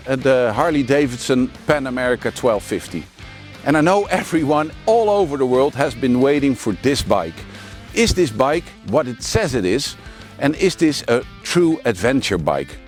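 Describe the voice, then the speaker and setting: A man in his forties with a Dutch accent, casually reviewing a motorbike outdoors with lots of fillers and restarts. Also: mid-range voice. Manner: chatty and frank, with many fillers and restarts. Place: outdoors at a working mine site.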